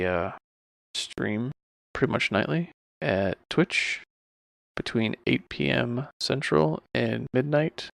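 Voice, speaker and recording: Very creaky, casual man giving a tutorial. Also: mid-range voice, no echo. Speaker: streamer giving a software tutorial. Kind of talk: casual screen-recorded tutorial.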